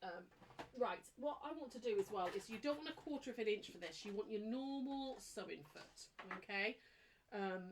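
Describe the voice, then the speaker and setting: A woman's voice: on the high side, animated, normal speed, a little hiss or hum. A woman with a snuffly, blocked nose, hosting a casual live sewing tutorial and chatting with her viewers. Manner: chatty and apologetic, chats with live viewers while demonstrating. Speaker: sewing teacher hosting a live craft demo.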